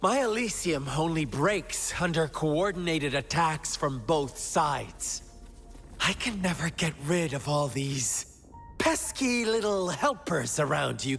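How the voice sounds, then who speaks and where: An actress playing a sly, teasing villainess in a video game, with a drawling, mock-hurt, theatrical delivery. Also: female voice, low-pitched, fairly bright tone, big-room sound, full character voice.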